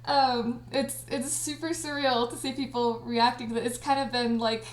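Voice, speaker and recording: A woman with a fairly high voice chats casually and excitably, giggling as she goes. The sound is roomy and echoey, like a group voice chat. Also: normal speed. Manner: giggly, excitable, frequently breaking into laughter. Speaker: audio drama creator and cast party host. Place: indoors on a group livestream call.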